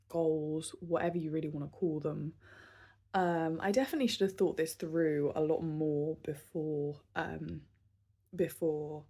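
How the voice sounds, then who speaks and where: A woman in her thirties recording a casual vlog, talking unscripted and candidly, with many pauses, fillers and restarts. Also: low-pitched, mellow. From southern England. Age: 30s. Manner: candid and self-reflective. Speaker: vlogger.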